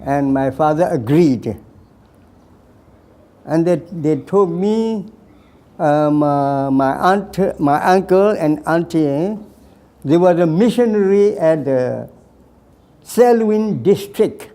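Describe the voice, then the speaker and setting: A man in his nineties from Burma, slowly telling his life story with hesitant pauses and repeated phrases. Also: a little high, lively intonation, warm tone. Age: 90s. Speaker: veteran recounting his life. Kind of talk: slow personal storytelling.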